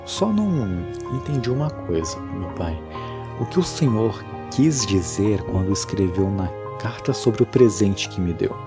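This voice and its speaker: A man's voice: on the low side, animated, a little slow, music in the background. A Brazilian man playing a troubled adult son who questions his dead father, in a horror audio drama. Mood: puzzled.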